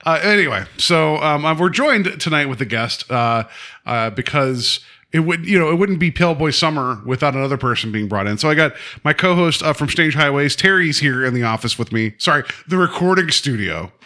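A man's voice: on the high side, animated, brisk, bright, forceful tone. A man co-hosting a podcast with casual, jokey banter and a rambling way of talking. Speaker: podcast co-host. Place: office used as recording studio.

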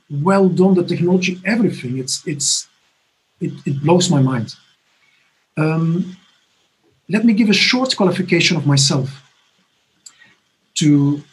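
A man in his fifties from Belgium giving a personal recovery testimony from his apartment, halting and earnest with many restarts. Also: fairly high pitch, rough recording. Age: 50s. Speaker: recovery conference speaker. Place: home apartment.

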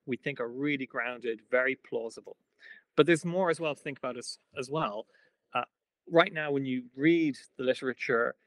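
A man answering as a guest expert on a podcast, explaining fluently and quickly. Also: mid-range voice, fairly bright tone, no echo.

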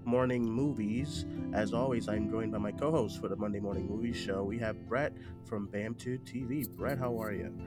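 A man with a stuffy cold hosts a casual podcast, talking in a halting way that often doubles back on phrases. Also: fairly low pitch, over loud music. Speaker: podcast host.

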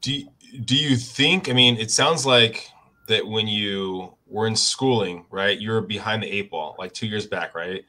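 A man's voice: fairly low pitch, measured pace, sharp tone. A man interviewing a guest, asking casual, probing questions.

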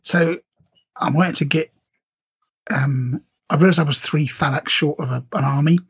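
A man co-hosting a podcast, talking in a relaxed, hesitant way with many ums and a very raspy voice. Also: a little high, moderate pace. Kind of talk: casual hobby chat. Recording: dull lo-fi audio.

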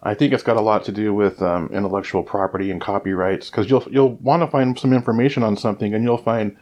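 A man's voice: fairly low pitch, fairly even intonation, fast talker, a little mellow, muffled recording. A man co-hosting a podcast in casual chat, rambling and opinionated, with many restarts, speaking close to the microphone. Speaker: podcast co-host. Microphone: sits close to the microphone.

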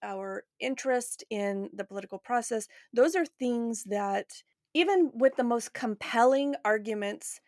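A woman's voice: fairly high pitch, a little slow, a little mellow, dry recording, clean studio sound. A woman hosting a podcast in a casual, reflective conversational style. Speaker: podcast host.

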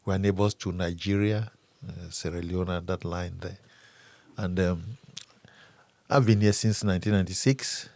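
An older man from Cameroon introducing himself in an interview, unhurried and trailing off quietly. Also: deep voice, steady tone, no room sound. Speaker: interview guest. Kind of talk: casual interview.

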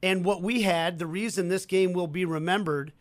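A man's voice: high-pitched, steady tone, moderate pace, no room sound. A man from Kansas City, a co-host and play-by-play announcer, telling a story in fluent, conversational chat. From Kansas City, United States. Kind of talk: casual chat.

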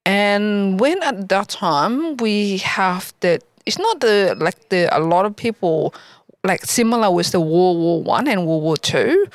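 Halting woman with a Vietnamese accent. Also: medium pitch, expressive, dry recording. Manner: halting, searching for words. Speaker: guest. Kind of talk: interview answers. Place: local library.

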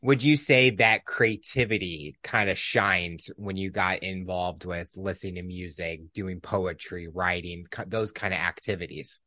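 A man's voice: low-pitched, steady tone, unhurried, few pauses, sharp tone, no echo. A man hosting a podcast, putting interview questions to a guest. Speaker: podcast host.

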